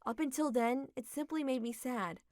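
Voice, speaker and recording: A young adult woman narrating a manga dub story in a steady, reflective reading. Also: high voice, normal speed, no room sound, clean studio sound.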